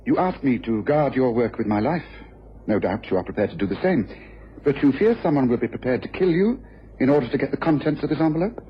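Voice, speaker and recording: A brisk gentleman detective played by a male actor, quick and very animated in pitch. He is formal, shrewd and commanding, heard on a muffled old radio recording. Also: slight background noise, lightly acted. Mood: probing, resolute. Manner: brisk, crisp, formal, incisive. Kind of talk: old-time radio drama acting.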